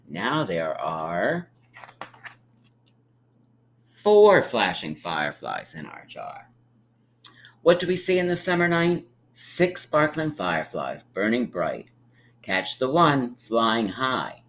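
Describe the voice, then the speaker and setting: A man reading a picture book aloud to young children like a teacher, with emphatic pauses and encouraging, friendly asides. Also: medium pitch, fairly bright tone. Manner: encouraging, inviting listeners to count along; drawn-out, emphatic storytelling delivery with dramatic pauses.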